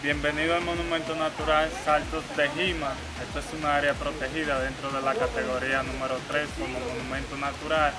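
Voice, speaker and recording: A man working as a park tour guide, explaining a trail map to visitors outdoors in a formal, steady way. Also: a little high, quick pace, hardly any pauses, over loud music, poor audio.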